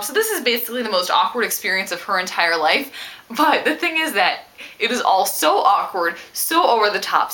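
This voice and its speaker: A woman talking casually about a book as a vlogger, with an animated, emphatic and opinionated way of speaking. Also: medium pitch. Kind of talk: casual book discussion to camera.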